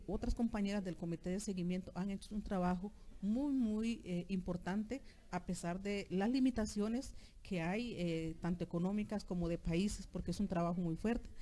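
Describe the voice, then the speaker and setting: A woman's voice: mid-range voice, normal speed, mellow. A middle-aged woman with a Costa Rican accent answering in an interview, hesitant and repeating words as she searches for the next one. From Costa Rica. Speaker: interviewee, alliance leader. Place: outdoor patio at night. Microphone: handheld microphone held by interviewer.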